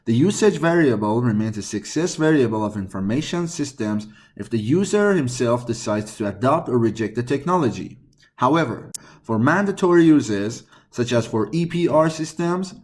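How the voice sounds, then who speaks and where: Male narrator, mid-pitched, for scripted academic read-aloud passages in language test practice. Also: a little mellow.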